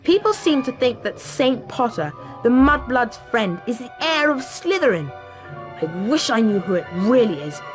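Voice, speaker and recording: A woman's voice: on the high side, sing-song, normal speed, soft background music. A woman playing a spiteful, arrogant schoolboy bully in a video game, sneering with contempt. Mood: sneering, malicious.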